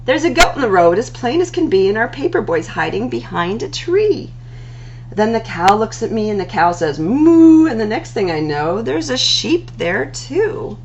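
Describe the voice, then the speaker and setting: A woman hosts a children's storytime, reading rhyming stories with a singsong lilt and stretched-out words. Her pitch swings widely and her voice has a gravelly creak. There is a faint hum and light noise in the recording. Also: dull audio. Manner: expressive, singsong delivery with drawn-out words. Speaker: library storytime host. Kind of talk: animated read-aloud of rhyming picture books.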